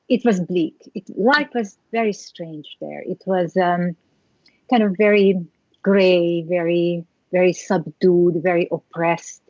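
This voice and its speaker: Guest: middle-aged Hungarian-accented woman, reflective, halting delivery, dry dull recording. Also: lowish voice, darkish tone, dry recording. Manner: reflective, halting with many restarts, laughs lightly. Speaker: guest, skincare founder. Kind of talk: interview storytelling.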